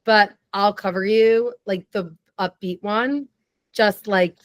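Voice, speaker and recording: A young woman guesting on a podcast, chatting eagerly in a low, raspy voice with plenty of vocal fry. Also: fairly even intonation, no echo. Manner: chatty and enthusiastic, quick tangents. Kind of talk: casual chat.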